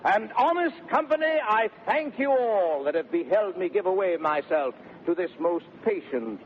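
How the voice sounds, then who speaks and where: An actor playing a headstrong, domineering bridegroom in declamatory 1930s Shakespearean radio style. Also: male voice, high-pitched, lively intonation, normal speed. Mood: grandly polite. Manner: declamatory, theatrical. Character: swaggering, headstrong bridegroom, boisterous and domineering man. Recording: muffled, noisy 1930s radio broadcast recording.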